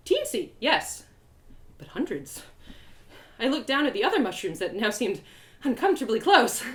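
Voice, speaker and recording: High-voiced woman reading a story animatedly. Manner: expressive, giggly, playful. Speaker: author reading a story live. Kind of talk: animated dramatic first-person story reading.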